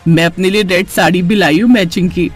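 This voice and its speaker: Man voicing high-voiced young sister-in-law. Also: fairly even intonation, fairly quick, faint music behind. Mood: cheerful. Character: cheerful, protective young married sister-in-law. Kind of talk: cartoon story character acting.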